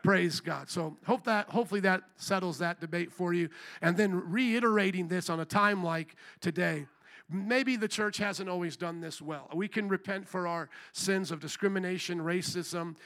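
Guy preaching a sermon, high voice, gets loud and shouty, keeps calling out to the church. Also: normal speed. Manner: preaching with calls to the congregation; rises to loud shouted emphasis. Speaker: pastor. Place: church service.

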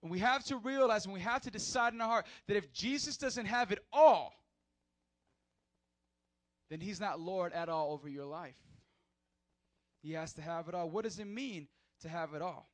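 A man preaching a sermon as pastor in a church, animated, acting out short skits in other voices. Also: high voice, moderate pace, long pauses, no echo.

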